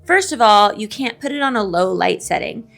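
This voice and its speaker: A woman's voice: medium pitch, animated, normal speed, vocal fry, fairly bright tone, faint music behind. A woman chatting casually and animatedly with a friend as a co-host, giving opinions in a playfully indignant, slangy way. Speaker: co-host of a bookish video show.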